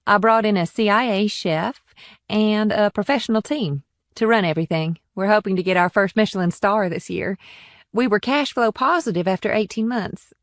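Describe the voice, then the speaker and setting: A woman's voice: medium pitch, no room sound, studio quality, lightly acted. A woman playing a confident, proud and flirtatious innkeeper in audiobook dialogue, with a poised, self-assured manner. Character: confident, proud, flirtatious businesswoman running an upscale inn.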